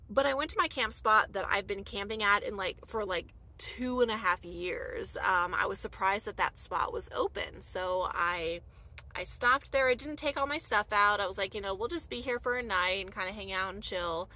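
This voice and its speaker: A woman in her thirties from the United States telling a personal story in a casual, animated vlog, full of "like" fillers, filmed inside a dark camper van at night. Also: mid-range voice, moderate pace, rough recording. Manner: chatty, animated, many "like" fillers. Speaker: vlogger.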